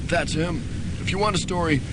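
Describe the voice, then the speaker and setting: A man's voice: brisk, lightly acted. A man playing a college student in a student radio comedy drama. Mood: furtive, secretive. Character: male college student, needling friend and furtive informant. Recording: noisy student radio recording with rumble.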